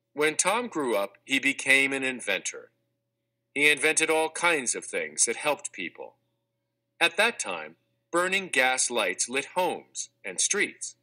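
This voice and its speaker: A man narrating a children's textbook read-aloud in a clear, measured, expressive scripted delivery. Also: medium pitch. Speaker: audiobook narrator.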